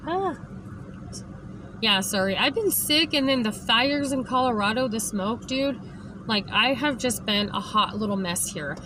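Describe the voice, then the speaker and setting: Hoarse lady ranting to her phone, keeps going "okay?", noisy background. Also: on the high side, lively intonation, a little slow. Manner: emphatic, keeps adding "okay?". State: hoarse, lost voice. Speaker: vlogger. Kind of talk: direct-to-camera rant. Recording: background noise.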